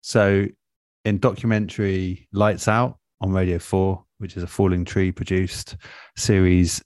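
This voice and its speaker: A man speaking as an interviewed radio producer in a relaxed, reflective way, thoughtful and measured, often pausing mid-phrase. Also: low-pitched, no echo, studio quality.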